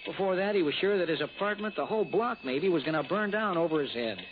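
An American actor playing an exasperated, scoffing insurance agent who frets over business, in a 1960s radio drama. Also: male voice, high voice, expressive, fast talker. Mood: dismissive. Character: exasperated, dismissive male insurance agent, fretting over premiums. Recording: muffled, noisy old radio recording.

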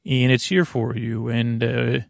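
Creaky-voiced man rambling sleepily. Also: mid-range voice, measured pace, no room sound, clean studio sound. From United States. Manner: rambling, full of filler words and tangents. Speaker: podcast host. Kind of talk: meandering sleep podcast monologue.

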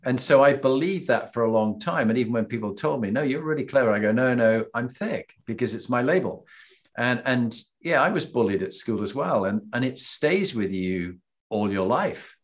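A male interviewer leads a casual conversation, asking his questions in a hesitant way. He restarts his sentences often and fills pauses with "um". His voice sits at an ordinary middle pitch. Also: expressive.

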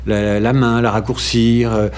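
A middle-aged man from France in an interview, talking thoughtfully with frequent "euh" pauses and restarts and some dry humor. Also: medium pitch, flat delivery, darkish tone. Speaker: interview guest. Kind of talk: hesitant conversational interview.

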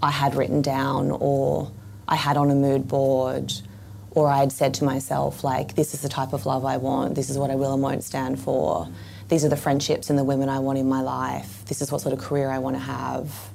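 A woman in her thirties speaks in a measured, reflective way. Her voice is very low and croaky, with a gravelly fry. A faint hiss sits under the recording. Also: completely flat delivery, darkish tone. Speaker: guest. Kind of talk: reflective conversation.

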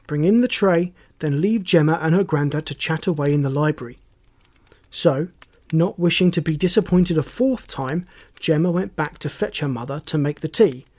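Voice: medium pitch, darkish tone, close to an everyday voice. Narrator acting wry, commanding police inspector. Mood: folksy, explaining. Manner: folksy, teasing, pointed questioning.

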